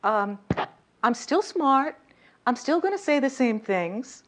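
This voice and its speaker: A woman with a slightly high voice giving a lively lecture on communication, often turning to the audience with questions. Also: slow. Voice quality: voice a little high, by her own account. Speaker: communication workshop speaker. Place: lecture room.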